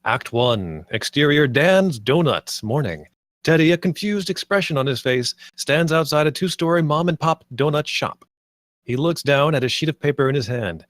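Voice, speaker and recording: A man's voice: fairly high pitch, lively intonation, normal speed, a bit bright, dry recording, clean studio sound. A man reading stage directions as scripted narration at a screenplay table read.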